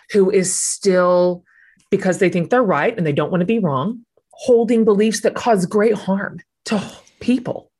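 A woman host interviews a guest in a thoughtful, measured voice that sits on the lower side. She stretches out key words for emphasis as she builds her questions. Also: slightly rough. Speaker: podcast host. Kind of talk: interview conversation.

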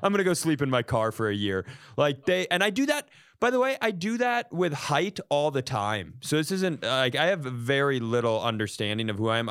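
A man's voice: mid-range voice, a bit bright, no echo. A man hosting a comedy podcast, telling casual comic stories in a joking, self-deprecating way with some swearing. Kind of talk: casual comic storytelling and banter.

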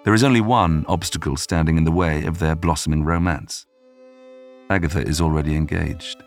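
A man narrating a history podcast from a script in a measured storytelling manner. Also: a bass voice. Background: music.

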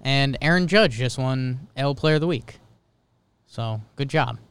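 A man's voice: mid-range voice, unhurried, fairly bright tone, clean tone, dry recording. A man co-hosting a sports podcast, chatting casually about the game.

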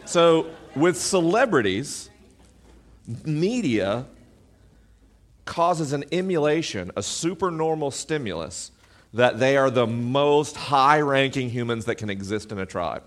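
A man with a deep, booming voice holds the stage at a live podcast event, speaking into an amplified mic. His delivery is animated and self-deprecating, and he mixes humor with earnest points. His pitch sits in an ordinary middle range for a man. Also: takes it slowly. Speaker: podcast host. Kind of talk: live stage talk to an audience.

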